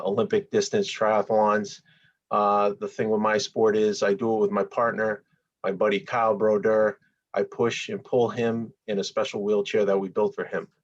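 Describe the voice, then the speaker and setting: Man, 40s, low voice, halting speech, dull lo-fi sound. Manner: halting, with many fillers and restarts. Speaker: endurance athlete giving a testimonial. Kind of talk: testimonial interview. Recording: dull lo-fi recording.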